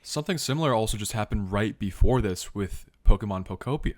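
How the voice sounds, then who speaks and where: A man's voice: fairly low pitch, lively intonation, a little fast, a little mellow, no room sound, clean studio sound. A man co-hosting a podcast, chatting casually. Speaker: podcast co-host.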